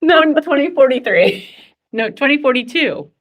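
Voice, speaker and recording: A woman's voice: sits high, lively intonation, moderate pace, noisy background. A woman in her forties leading a goal-setting workshop, chatty and upbeat, laughing often. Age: 40s. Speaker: workshop facilitator.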